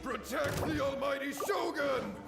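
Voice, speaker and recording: A man's voice: very high voice, unhurried. A man voicing a devoted, hopeful samurai soldier in a video game, earnest and reverent. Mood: rallying. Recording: echoey game audio with music behind.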